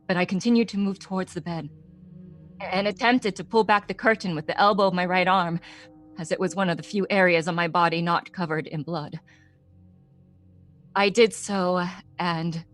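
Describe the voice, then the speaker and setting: An actress playing a haunted woman who gives a measured, formal, halting confession to a killing in a gothic drama monologue. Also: lowish voice, lightly acted. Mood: haunted, tense. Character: eerily calm woman confessing to a bloody killing.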